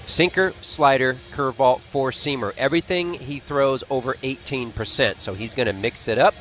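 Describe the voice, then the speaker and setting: A man's voice: mid-range voice, moderate pace, a bit bright, soft background music. An American man giving color analysis on a baseball radio broadcast in colloquial, punchy short phrases. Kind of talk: unscripted sports commentary. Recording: faint stadium noise on radio broadcast.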